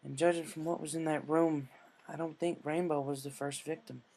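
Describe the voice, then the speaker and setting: A man voicing a shaken, guilt-ridden young female farm pony, halting and heavy-hearted in manner. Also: a little high, flat delivery, creaky, a little mellow, a little hiss or hum. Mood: shaken, regretful.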